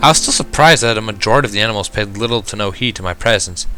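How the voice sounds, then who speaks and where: Guy reading fiction out loud, kinda deep and crisp-bright, dramatic, soft music behind. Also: racing. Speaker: reader of fan fiction. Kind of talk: expressive first-person story narration. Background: background music.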